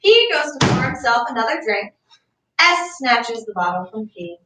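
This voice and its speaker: Woman narrating stage directions, bit high voice, far from mic in echoey room. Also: very animated, moderate pace, noisy background. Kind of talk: scripted narration.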